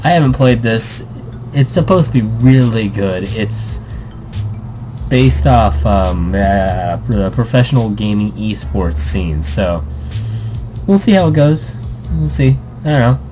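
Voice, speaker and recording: Casual male gaming host, relaxed low-key pace, swooping intonation, jokey and rambling. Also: slow. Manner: jokey, rambling, easily amused. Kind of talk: casual let's play commentary. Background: music.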